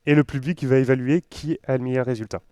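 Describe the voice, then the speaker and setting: A man's voice: mid-range voice, steady tone, fast talker, mellow, no echo. A man with a southern French accent giving an informal tech talk to an audience, conversational and full of joking asides. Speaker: conference speaker, web developer.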